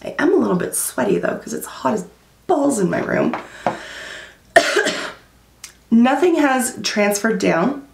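A woman in her thirties giving a candid, chatty beauty product review at home, her voice going hoarse. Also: a little high, sing-song, takes it slowly, pauses often, roomy sound. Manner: chatty and candid, many likes and fillers. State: losing her voice. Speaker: beauty vlogger. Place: small room at home, indoors.

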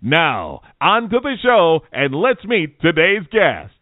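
A man's voice: on the high side, huge pitch swings, slow, no room sound. A man reading a recorded radio show intro in an upbeat announcer delivery. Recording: dry tinny phone-like sound.

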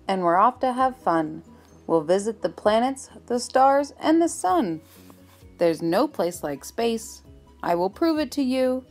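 A woman reading a rhyming children's book aloud with a measured, rhythmic delivery. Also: mid-range voice. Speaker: storybook reader. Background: faint music.

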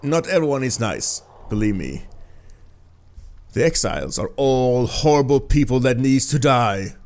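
A man's voice: mid-range voice, animated, a little slow, darkish tone. A man from Sweden with a Swedish accent, giving casual, slightly rambling commentary as he plays a video game. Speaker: gameplay host. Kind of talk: casual let's play commentary.

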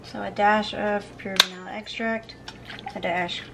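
A woman's voice: medium pitch, flat delivery, unhurried, gravelly, heavy background noise. A young woman in her twenties chatting casually while cooking in her home kitchen, filmed on a camera set on the counter. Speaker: cooking vlogger.

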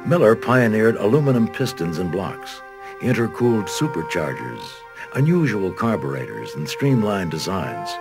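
Low-pitched American male narrator over music. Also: big pitch swings, moderate pace, creaky. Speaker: documentary narrator. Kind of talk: scripted documentary narration. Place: studio voice-over. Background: background music.

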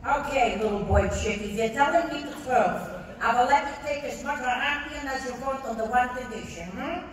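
Woman playing a shrill, threatening witch. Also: normal speed, natural voice. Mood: bargaining, menacing. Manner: commanding, theatrical. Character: shrill, bossy old witch, threatening and possessive. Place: large convention panel hall, filmed from audience. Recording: echoey convention hall, far off, noisy.

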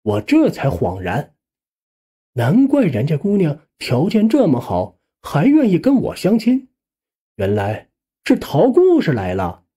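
Male storyteller for audiobook narration, very animated intonation, dark tone, measured pace. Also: on the high side, slightly rough. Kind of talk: scripted first-person story narration.

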